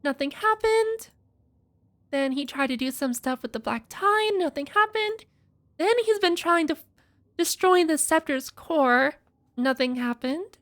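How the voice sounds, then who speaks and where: Very high-pitched woman streaming game reactions. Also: animated, measured pace. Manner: thinking out loud, conversational. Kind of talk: casual reaction commentary, reading aloud and theorizing.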